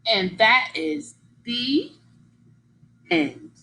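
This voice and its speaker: A woman's voice: on the high side, very animated, extremely slow, creaky, pushed and bright, a little hiss or hum, low-quality recording. A woman reading a picture book aloud like a teacher, with exaggerated emphasis, putting questions to young listeners.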